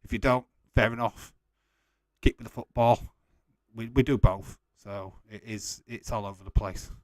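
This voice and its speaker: A man's voice: on the low side, fairly even intonation, moderate pace, no room sound. Yorkshire man chatting casually, close and dry. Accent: Yorkshire English. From West Yorkshire, England. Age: forties. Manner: informal, blunt, chummy, swearing. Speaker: livestream host. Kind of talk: casual watch-along chat, reading out viewer comments.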